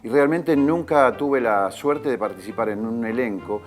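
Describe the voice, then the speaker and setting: A man's voice: medium pitch, moderate pace, faint music behind. A male actor speaking earnestly in an interview about his cast.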